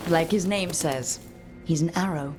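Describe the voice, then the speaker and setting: A confident young female leader in a fantasy game. Her voice is slightly low and steady. She sounds calm, wry and reassuring, with music playing clearly behind. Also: measured pace. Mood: wry, amused. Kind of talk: dramatic video game acting.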